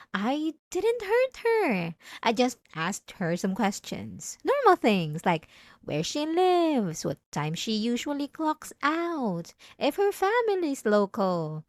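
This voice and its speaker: A woman playing a possessive, obsessive wife in an intimate ASMR roleplay, pleading and defensive, coaxing with halting, stammered self-corrections. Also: on the high side, no echo, clean studio sound. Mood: evasive, justifying. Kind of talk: ASMR roleplay drama, intimate monologue to listener.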